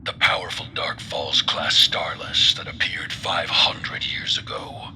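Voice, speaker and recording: An actor playing a grim, vengeful warrior in a game cutscene, with a rough, breathy growl and solemn, weighty delivery. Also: male voice, rumbling low, flat delivery, measured pace, sharp tone, a little hiss or hum, exaggerated voice. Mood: grave, bitter. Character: grim vengeful warrior, survivor of a destroyed planet. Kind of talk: dramatic scripted game cutscene acting.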